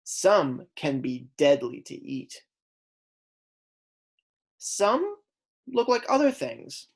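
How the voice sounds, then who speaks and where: Female kindergarten teacher, low register, unhurried delivery. Instructive read-aloud for small children, simple explanations and prompts. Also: very animated, gravelly.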